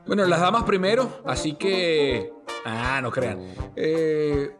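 A Venezuelan sports journalist hosting a podcast in a casual, joking way, full of fillers. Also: male voice, fairly high pitch, slow, over music. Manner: jokey, chatty, many fillers. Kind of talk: casual chat.

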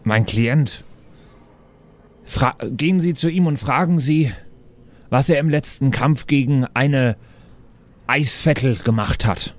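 A man's voice: medium pitch, takes it slowly, pauses often, natural voice. A man playing an insistent dwarf who poses as a formal defence lawyer, improvised in a tabletop game.

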